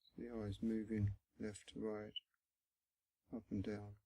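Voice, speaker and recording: A man guiding a body scan meditation in a gentle, instructional manner, pausing often between short phrases, with a low, husky, creaky voice. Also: completely flat delivery. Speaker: meditation guide.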